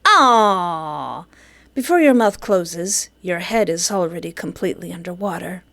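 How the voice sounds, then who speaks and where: A woman's voice: lowish voice, expressive, unhurried, a bit bright. A woman reading a visual novel aloud and breaking in with sarcastic jokes and squeals of mock panic. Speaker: let's play gamer.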